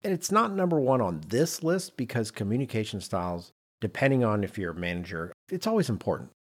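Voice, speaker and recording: A man's voice: on the low side, sing-song, a little fast. A man co-hosting a podcast, talking conversationally and explaining with emphasis and rhetorical repetition. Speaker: podcast co-host. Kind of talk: conversational podcast discussion on leadership.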